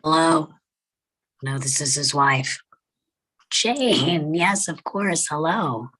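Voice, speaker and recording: A woman playing a cold, wounded wife in a drama script read, with a husky voice. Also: deep voice, expressive, takes it slowly, fairly bright tone, heavily acted, rough recording. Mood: curt. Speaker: voice actor in a table read.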